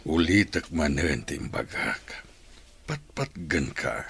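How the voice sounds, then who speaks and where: An actor playing an earnest, devoted suitor in a radio melodrama, speaking solemnly. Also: male voice, a bass voice, big pitch swings, takes it slowly, gravelly, fairly bright tone, full character voice. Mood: earnest pleading. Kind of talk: radio drama acting.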